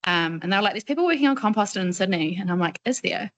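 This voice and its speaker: A woman's voice: mid-range voice, expressive, fast. A woman from New Zealand with a New Zealand accent, asking a long, rambling and candid question on a video call webinar. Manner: chatty, rambling, candid and informal. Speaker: audience member asking a question. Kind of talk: webinar discussion.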